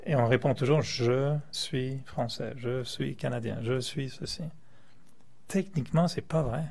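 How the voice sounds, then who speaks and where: A man's voice: medium pitch, unhurried, gravelly, a little mellow. A man from France, a meditation teacher, gives a spiritual talk to a class in a playful, joking way and chuckles often.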